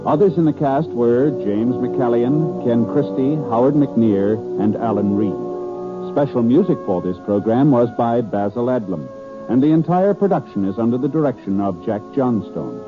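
An American man announcing in formal 1950s network radio style. His voice sits at a medium pitch, and the old recording is thin and narrow.

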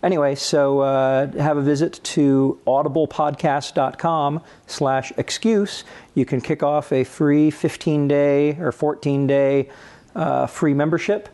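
A man co-hosting a podcast, speaking off the cuff in a halting, measured way. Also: fairly high pitch, fairly even intonation, darkish tone. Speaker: podcast co-host. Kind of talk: casual unscripted recommendation.

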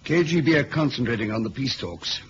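Man acting an edgy male intelligence boss, medium pitch, animated intonation, 1970s radio drama, muffled old recording. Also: fairly quick, slight background noise, natural voice. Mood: urgent, relieved.

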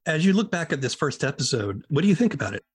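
A man's voice: on the high side, big pitch swings, fast talker, slightly rough. A man interviewing guests conversationally, asking reflective, rambling questions as a podcast host.

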